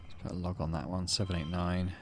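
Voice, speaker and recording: A man's voice: low-pitched, little pitch movement, fairly quick, a little mellow. A man hosting a let's play, commenting on a game and reading its text aloud. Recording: clear background noise.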